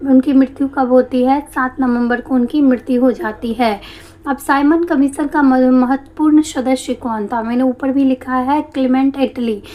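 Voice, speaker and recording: A woman teacher with a slightly hoarse throat, explaining a history lesson step by step and answering her own questions. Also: high-pitched, fairly even intonation, moderate pace, dark tone. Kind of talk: exam-prep history lesson.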